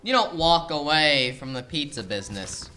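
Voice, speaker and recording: A man's voice: fairly high pitch, animated, moderate pace, sharp tone, soft background music, rough recording. A young man playing an exasperated, self-pitying middle-aged mob boss in a comic student radio play, whiny and blustering. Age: young adult. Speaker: actor in a student audio play.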